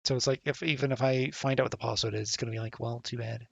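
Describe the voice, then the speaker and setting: A man's voice: mid-range voice, steady tone, quick pace, gravelly, fairly bright tone, no echo. A man live-streaming a puzzle game, muttering and swearing in frustration as he guesses passwords. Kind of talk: live-stream commentary while gaming.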